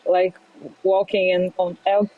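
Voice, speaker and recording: A young adult woman from Brazil with a Brazilian Portuguese accent, speaking English as a podcast guest, hesitant with many fillers and quick laughs. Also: on the low side, flat delivery, no room sound, narrow, tinny audio. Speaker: fintech CEO guest. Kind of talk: podcast interview. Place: remote, not in studio.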